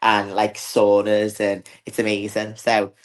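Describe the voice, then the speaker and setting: A woman's voice: a bass voice, completely flat delivery, measured pace. A woman with a Liverpool accent, chatting as a guest in an interview and filling her talk with "like". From north of England. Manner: chatty, many fillers like "like". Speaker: bodybuilder guest. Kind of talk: casual interview chat.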